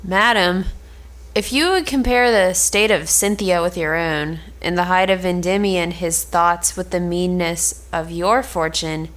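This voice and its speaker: A woman's voice: fairly low pitch, fairly even intonation, a bit bright. A woman playing a frank, admonishing confidant, reading formal archaic lines in an amateur cold read of an Elizabethan play. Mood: frank, reproving. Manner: measured, formal archaic phrasing.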